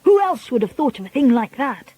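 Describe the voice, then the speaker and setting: Witch mob, voiced by a woman: shrill, gleeful, bloodthirsty, breathy edge. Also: fairly quick, dry recording, full character voice. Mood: eager. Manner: shrill, excited exclaiming. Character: crowd of shrieking, bloodthirsty female witches.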